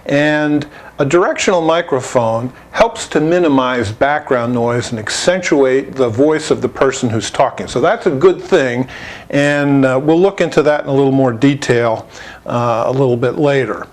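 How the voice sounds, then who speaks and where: A man narrating a product review as an audio installer, explaining clearly and at a measured pace. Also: mid-range voice.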